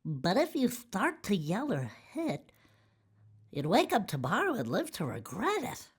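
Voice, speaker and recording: A woman's voice: mid-range voice, huge pitch swings, measured pace, husky, voice pushed up, full character voice. A woman voicing a kindly, earnest male rabbit who gives advice in a children's storybook. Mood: earnest, advising. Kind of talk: children's storybook read-aloud.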